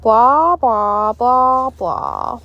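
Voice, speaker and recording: An exasperated lady schoolteacher, as a woman reader puts her on, pitched high and emphatic. She scolds and teases with theatrical flair, like a character in a children's storybook. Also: expressive, very slow, highs cut off, exaggerated voice. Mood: droning. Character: exasperated adult female schoolteacher, scolding and teasing.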